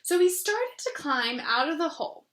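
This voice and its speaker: A woman reading a children's story aloud with an expressive manner and soft asides. Her voice is high and bright. The room gives a noticeable echo. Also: normal speed. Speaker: storybook reader.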